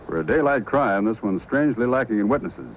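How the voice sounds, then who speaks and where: An actor playing a courteous, steady police sergeant, formal and measured, in an old-time radio drama. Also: male voice, medium pitch, dull audio, natural voice. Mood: courteous, businesslike. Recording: faintly noisy old radio recording.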